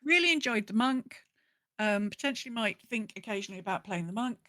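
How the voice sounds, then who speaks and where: A woman co-hosting a podcast, chatting casually about gaming in an animated, rambling way and acting out her own thoughts. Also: medium pitch, brisk, no room sound, studio quality. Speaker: podcast co-host.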